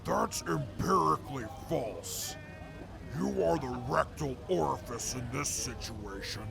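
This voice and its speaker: A man acting in an audio drama as a pompous, smug, mocking sidekick with gravelly, formal, wordy speech. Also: medium pitch, animated, music in the background. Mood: smug, gloating. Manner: pompous, formal, drawn-out, verbose.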